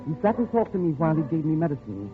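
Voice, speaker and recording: A refined, weary traveller in an old radio play. He speaks politely and a little hesitantly, with an animated, slightly high voice. The recording is thin and narrow, like a vintage broadcast. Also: very fast, soft background music. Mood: reflective narrating. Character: educated male traveller, polite, weary, uneasy jungle convalescent. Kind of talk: 1950s radio drama acting and first-person narration.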